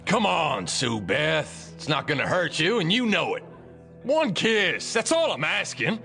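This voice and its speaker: An actor playing a burly, hot-tempered frontier bully with a defiant American Western drawl in a dramatized audiobook. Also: male voice, on the high side, big pitch swings, measured pace, vocal fry, sharp tone, faint music behind, full character voice. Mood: pushy.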